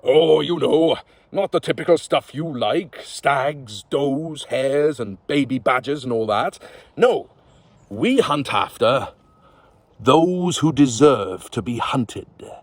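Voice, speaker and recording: A man voicing a sly, genial male underworld fairy lord, slow and knowing. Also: medium pitch, animated, no echo.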